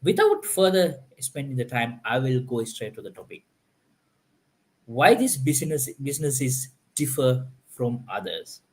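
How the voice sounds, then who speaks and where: A man's voice: mid-range voice, very animated, a little slow, darkish tone. A man hosting a live online panel, talking in a loose, filler-heavy way and often restarting his sentences. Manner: filler-heavy, repeats "like, you know". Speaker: host. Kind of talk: live stream panel chat.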